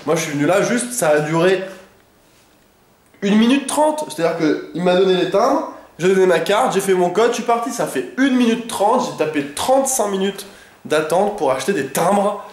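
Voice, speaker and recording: A young man talking casually to his viewers in a somewhat high voice, his nose stuffed up from a cold. He rambles on with plenty of pauses and slangy asides. The sound bounces around an echoey room. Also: animated. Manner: rambling and chatty, lots of hesitations and slang. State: congested with a head cold. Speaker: vlogger. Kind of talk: casual daily vlog chat.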